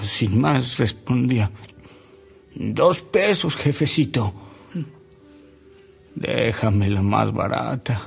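An actor playing a humble, repentant older gentleman in a radio drama, telling fond anecdotes in a gravelly, husky voice. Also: mid-range voice, heavily acted. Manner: hesitant, savouring, acts out quoted dialogue.

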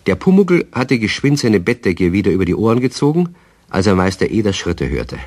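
Deep, lively male storybook narrator. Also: fairly quick, no room sound. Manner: calm, expressive storytelling.

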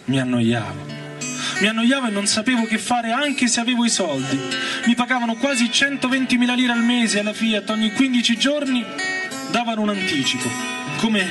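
A man giving a theatrical, colloquial first-person reading of a novel, with emphatic repetitions. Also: sits high. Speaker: reader and stage performer. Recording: voice distant under loud guitar music. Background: electric guitar and organ music.

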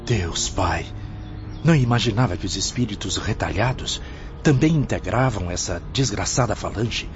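A shocked, pitying man among spirits played by an actor in a radio drama. His voice is fairly low and hushed. The sound is dull, with music underneath. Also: animated, moderate pace, close to an everyday voice.

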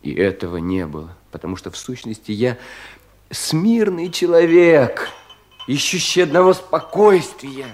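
An actor playing a troubled, self-doubting young provincial official in a 1970s Russian radio play, in an anxious, theatrical manner. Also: male voice, medium pitch, sing-song, measured pace. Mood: agitated, questioning. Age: young adult. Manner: brooding, theatrical, self-questioning, with sudden swells and hushed drops.

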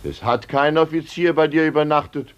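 A man's voice: a little high, brisk, slight background noise, natural voice. An actor playing a blunt, worried coachman to a count in a 1950s radio play. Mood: insistent.

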